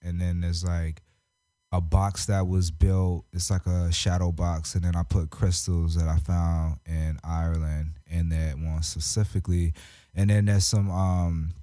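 A man's voice: deep voice, flat delivery, a little slow, dark tone, no echo. A young man from Japan, a rapper and artist, talking in a laid-back, slangy way as a guest in a radio studio. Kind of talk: casual interview chat. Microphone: studio mic on boom arm.

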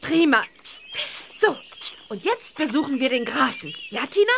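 A woman's voice: high voice, big pitch swings, unhurried, gravelly, background noise, heavily acted. A woman playing a spirited, determined young witch girl in a children's radio play, eager in manner.

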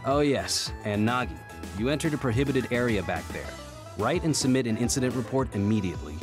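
An actor playing a composed, dutiful platoon officer in an anime game, measured and instructive. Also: male voice, mid-range voice, animated, over music, natural voice. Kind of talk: anime video game dub acting.

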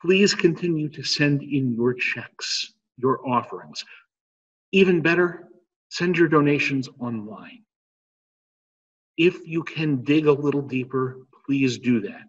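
A middle-aged man leading a church service as pastor, speaking in a slow, measured liturgical way over a webcam. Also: medium pitch, husky. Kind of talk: church service prayers and announcements. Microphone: webcam video call. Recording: dull lo-fi webcam audio with long reverb.

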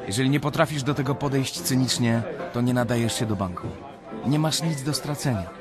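An actor playing a seasoned, cynical older analyst in a radio drama, handing out blunt advice. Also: male voice, medium pitch, a little fast, over music, lightly acted. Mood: cynical, urging. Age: middle-aged.

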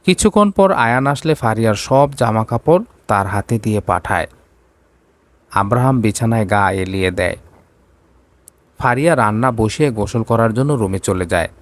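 A man's voice: on the low side, expressive, normal speed, dry recording. A man narrating a scripted romantic drama story.

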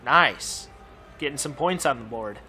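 Young gamer guy rambling over a hockey game, kinda hoarse, bright edgy tone, cusses a lot. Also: medium pitch, lively intonation, measured pace, a little hiss or hum. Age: young adult. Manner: rambling, swears freely. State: slightly losing his voice, mouth numb. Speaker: gaming channel host. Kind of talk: casual gameplay commentary.

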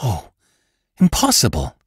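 A man's voice: fairly low pitch, huge pitch swings, a little slow, a bit bright, husky, no echo, clean studio sound, exaggerated voice. A man voicing an anxious, pleading young woman rancher in a western audiobook, with emotional, exclamatory delivery. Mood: worried. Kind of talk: audiobook character acting.

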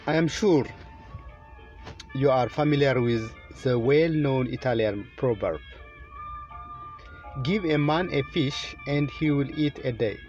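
A middle-aged man from Ethiopia with an Ethiopian accent gives a formal, deliberate prepared speech to camera inside a car. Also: medium pitch, darkish tone, dull audio, faint music behind. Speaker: presenter, children's author.

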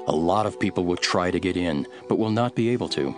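Solemn male teacher-prophet, low voice, very varied intonation, earnest preaching, scripture drama. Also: lively intonation. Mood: stern teaching. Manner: measured, emphatic, preaching. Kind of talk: dramatized scripture reading over music. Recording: music behind the voice.

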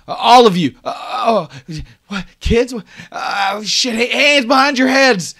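A man voicing a female soldier who shouts orders, then falters in confusion. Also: very high-pitched, unhurried, creaky, voice pushed up, exaggerated voice. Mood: flustered. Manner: frantic shouting.

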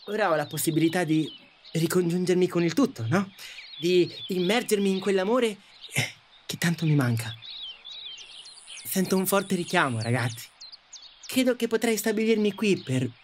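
A man's voice: high-pitched, expressive, moderate pace, a little hiss or hum. A man acting a wistful, reflective and hesitant passenger in the afterlife, in an audio drama. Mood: wistful, longing. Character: wistful male passenger in the afterlife, longing for love. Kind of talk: audio drama narration and dialogue.

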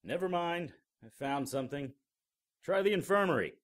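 An actor playing a wisecracking, unflappable paranormal investigator in an audio drama, with a dry, sarcastic delivery. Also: male voice, on the high side, animated, normal speed, no room sound, lightly acted. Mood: calling out. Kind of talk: audio drama dialogue and first-person noir narration.

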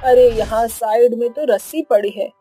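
A woman's voice: on the high side, moderate pace, warm tone, husky, soft background music. A woman voicing a playful, childlike elderly mother-in-law in a cartoon, drawling and exclamatory. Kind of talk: Hindi cartoon voice acting.

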